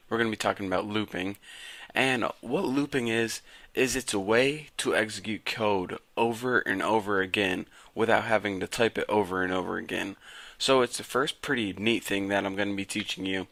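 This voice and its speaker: A raspy-voiced young man casually teaching a programming lesson to viewers. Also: fairly low pitch, sharp tone, no echo. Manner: casual, chatty, talks to viewers as guys. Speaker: programming tutor. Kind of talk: casual screen-recorded coding tutorial.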